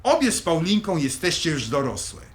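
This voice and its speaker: A man's voice: a little high, big pitch swings, moderate pace. An actor playing a stern, middle-aged father in an audio drama, blunt, commanding and dismissive. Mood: defensive.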